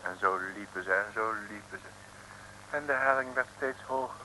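A man's voice: on the low side, moderate pace, sharp tone. A man narrating a comic Dutch radio serial from around 1960, building up the story theatrically. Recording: noisy old radio recording.

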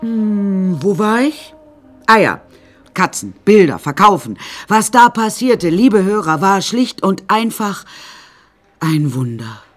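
An eccentric woman painter tells her life story in a radio drama with music underneath. Her delivery is wry and rambling, confiding in the listener in a medium-pitched voice with a matter-of-fact tone. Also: very animated, slow. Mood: brisk, confiding. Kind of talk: first-person radio drama narration.

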